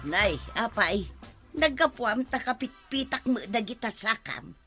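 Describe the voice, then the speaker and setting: A sharp, complaining village gossip. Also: female voice, a little high, lively intonation, measured pace, soft background music. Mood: scolding, indignant. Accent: Ilocano. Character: complaining, suspicious village woman, gossipy neighbour. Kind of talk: Ilocano radio comedy drama acting.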